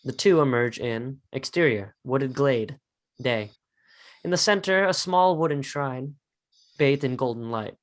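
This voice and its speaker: Mid-pitched man narrating a script. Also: animated, unhurried. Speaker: narrator reading stage directions.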